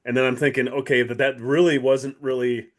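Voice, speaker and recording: A man's voice: mid-range voice, level tone, fairly quick. A man co-hosting a podcast in casual chat about television, thinking aloud and often correcting himself mid-sentence. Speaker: podcast co-host.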